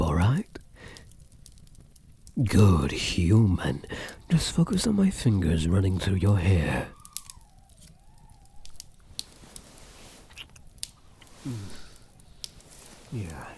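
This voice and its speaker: A man performs a possessive, doting vampire count in an intimate ASMR roleplay. The voice is dark and breathy, murmured slowly and slipping often into whispers, with tenderness under the menace. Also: low-pitched, huge pitch swings, full character voice. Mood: teasing, possessive. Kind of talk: soft-spoken ASMR roleplay.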